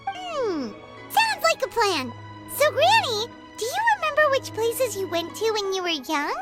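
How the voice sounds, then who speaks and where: This is Paimon, a tiny fairy companion in a game,. Her voice is very high and squeaky and bounces all over the place. She is excitable and talks about herself in the third person, with music playing behind her. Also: unhurried, full character voice. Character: Paimon, small chirpy fairy companion girl. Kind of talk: video game voice acting.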